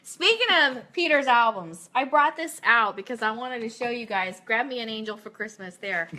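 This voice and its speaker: A woman's voice: a little high, moderate pace, sharp tone. A woman hosting a casual fan radio show, talking in an enthusiastic, informal way as she plugs things.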